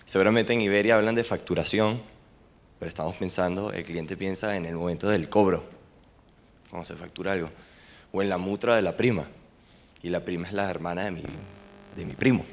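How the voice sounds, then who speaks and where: A man's voice: low-pitched, brisk, pauses often. A man asking a question from the audience at a conference, with a light, playful humor. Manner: lightly humorous, plays with wordplay. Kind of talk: question from the floor. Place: conference venue.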